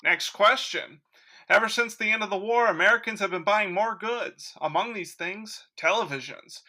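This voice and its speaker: A woman playing a chipper, brisk radio show host in a parody of 1940s announcing. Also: medium pitch, sharp tone. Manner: announcer-like, showy.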